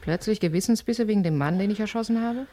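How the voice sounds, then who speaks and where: An actress as a cold-blooded woman in a crime radio drama. She commands and taunts with intense, controlling authority, in a mid-pitched voice. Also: fast talker, warm tone, close to an everyday voice. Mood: scornful, defiant. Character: cold, domineering female killer goading her weak partner. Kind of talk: German crime radio play acting.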